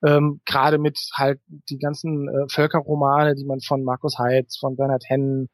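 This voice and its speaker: A man's voice: mid-range voice, completely flat delivery, moderate pace, no room sound. A man giving casual interview answers as an author, thoughtful and explanatory, feeling his way through his phrasing.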